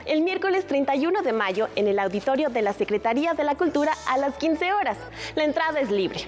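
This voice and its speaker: High-voiced woman reading a culture segment. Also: animated, a little fast, soft background music. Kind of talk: scripted news segment narration.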